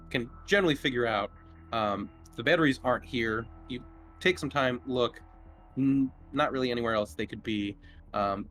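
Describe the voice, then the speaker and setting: A man acting as game master, describing scenes for a tabletop horror game in a halting, improvised way. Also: medium pitch, fairly bright tone.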